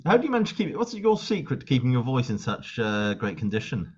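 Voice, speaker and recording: A male interviewer with a middle-pitched voice asks questions at a brisk pace. His delivery is eager, and he keeps up quick "yeah"s while the guest talks. The audio sounds dull and lo-fi. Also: big pitch swings, a little mellow, slightly rough. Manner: quick, eager, frequent short backchannels. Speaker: interviewer, channel host. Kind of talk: casual interview.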